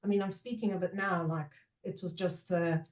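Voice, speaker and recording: A middle-aged woman with a lowish voice, speaking English as a second language after Portuguese. She talks in a thoughtful, measured way, pausing with fillers as she gathers her points. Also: fairly even intonation. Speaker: guest, trainer and former teacher. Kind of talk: reflective podcast conversation.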